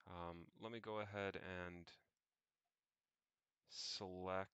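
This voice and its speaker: A man with a low, crisp voice talks casually through what he is doing on a livestream. His delivery is slow and halting, with pauses mid-sentence as he mutters to himself. The sound is close and dry. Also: fairly even intonation, a bit bright, no room sound, highs cut off. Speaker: solo livestreamer. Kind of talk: casual think-aloud screen-share narration.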